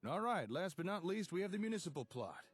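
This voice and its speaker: Man playing a folksy, high-voiced settlement organiser. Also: big pitch swings, fast, exaggerated voice. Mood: genial, explaining. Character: folksy, genial male settlement organiser explaining building plots. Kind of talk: scripted video game dialogue. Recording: clear background ambient noise.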